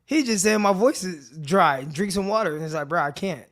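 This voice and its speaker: A man with a naturally raspy, dry voice hosts a live sports talk stream. He talks fairly high and loose, tossing slang straight at his viewers with an easy, chatty manner. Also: expressive, normal speed, a bit bright. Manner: laid-back slangy chat addressing viewers directly. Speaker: podcast host. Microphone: desk mic with earbuds in.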